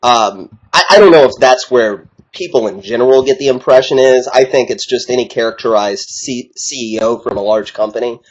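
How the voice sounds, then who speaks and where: A thoughtful man on a discussion panel reasons his way through an answer, pausing to find his words. His voice is middle-pitched. The recording sounds dull and lo-fi.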